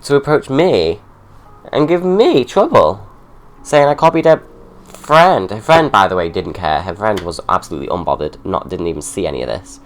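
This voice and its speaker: A man's voice: fairly low pitch, big pitch swings, normal speed. A young man with a stuffy cold, chatting sarcastically through a drag makeup tutorial at home. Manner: chatty, sarcastic, ranting asides.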